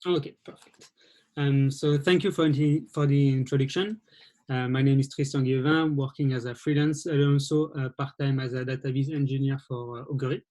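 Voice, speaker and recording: A man from France with a French accent, giving a presentation over a video call and talking in a halting way with frequent restarts. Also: mid-range voice, fairly even intonation, a little mellow. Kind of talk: webinar presentation.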